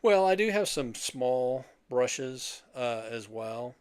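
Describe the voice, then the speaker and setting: A man's voice: medium pitch, animated, slow, clean tone, no echo. A middle-aged man chatting casually as a podcast host, rambling and jokey. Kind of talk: casual chat.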